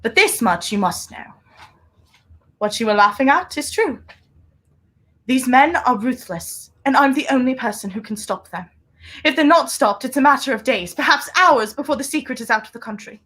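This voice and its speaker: A woman playing a mysterious, hunted secret agent in a 1930s radio play, intense and secretive, with deliberate theatrical delivery. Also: high-pitched, lively intonation, a bit bright, breathy edge, heavily acted. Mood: grave, warning.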